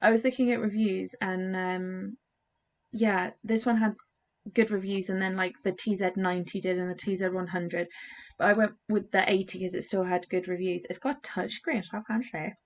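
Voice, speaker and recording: Chatty English young woman, slightly gravelly. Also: medium pitch, fairly even intonation, moderate pace. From England. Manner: chatty and rambling, many fillers and restarts. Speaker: doll customizing vlogger. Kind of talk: casual chat while crafting.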